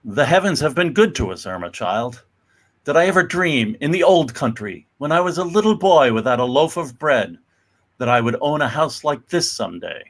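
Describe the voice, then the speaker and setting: Man acting out an older immigrant dad, proud, kindly, self-made, comic-book drama reading, medium-pitched voice. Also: very animated, normal speed, fairly bright tone. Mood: wistful pride.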